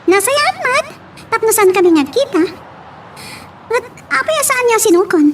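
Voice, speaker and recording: A woman acts a quick-tempered young Filipino daughter in an audio drama. Her voice is extremely high and bossy, a little away from the mic. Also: lively intonation, a little hiss or hum. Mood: questioning. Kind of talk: amateur audio drama acting. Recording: slightly distant from microphone.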